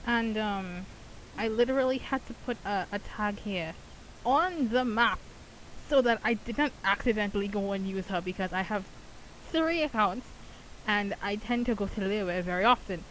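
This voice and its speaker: A woman's voice: medium pitch, normal speed, fairly bright tone, poor audio. A woman giving casual gameplay commentary in a rambling, conversational way. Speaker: gaming content creator. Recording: faint hiss.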